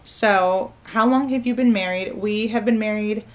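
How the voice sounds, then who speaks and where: A woman's voice: medium pitch, unhurried. A woman in her late twenties, a nursing student from Maryland, chatting casually to camera at home at night. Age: 20s. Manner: relaxed, chatty, frequent "like" and "so". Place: living room floor at home, indoors. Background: faint room ambience, heater hum.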